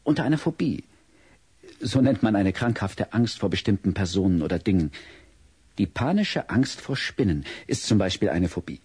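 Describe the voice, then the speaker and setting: An actor playing a big, kindly, patient child psychologist in an audio drama, gently probing and explaining. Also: male voice, on the low side, lively intonation, moderate pace, husky, no echo. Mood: earnest, explanatory.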